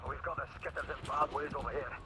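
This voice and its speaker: An actor playing a soldier making a hurried, swearing radio call in a video game. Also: male voice, fairly high pitch, steady tone, background noise, close to an everyday voice. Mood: urgent. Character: panicked male soldier calling over radio.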